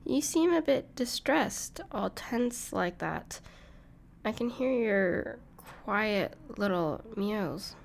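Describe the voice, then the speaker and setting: A woman playing a gentle, caring dragon friend in an intimate audio roleplay, speaking reassuringly to the listener with some hesitation. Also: medium pitch, expressive, slow pace, gravelly, a little hiss or hum. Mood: concerned, gentle. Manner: soft-hearted, reassuring, hesitant with small laughs.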